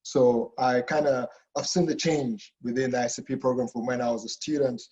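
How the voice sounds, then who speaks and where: A man's voice: medium pitch, fairly even intonation, normal speed, darkish tone, lo-fi sound. A man from Kenya, a professor talking casually in an online info session, with restarts and a habit of asking "okay?". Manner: chatty, frequent "okay?" and restarts.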